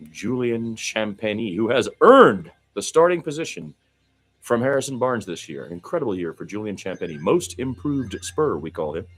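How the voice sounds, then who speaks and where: Low-voiced man calling basketball play-by-play. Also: husky. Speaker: play-by-play commentator. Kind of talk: amateur radio play-by-play basketball commentary.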